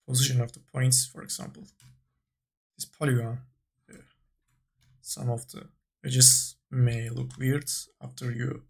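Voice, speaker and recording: A man giving an unscripted software tutorial, thinking aloud in short, halting phrases as he instructs. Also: medium pitch, vocal fry, slightly rough.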